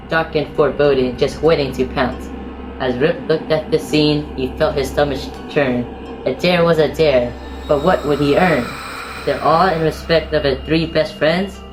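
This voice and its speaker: A woman's voice: sits low, fairly even intonation, measured pace, over music, rough recording. A woman narrator reading rhyming, scripted storybook narration in a theatrical storytelling manner.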